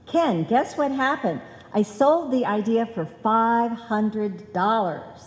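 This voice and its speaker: A woman's voice: fairly high pitch, lively intonation, takes it slowly. A woman playing a scatterbrained, cheerfully naive wife in a live old-time radio comedy. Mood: naively pleased. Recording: echoey hall, live stage performance.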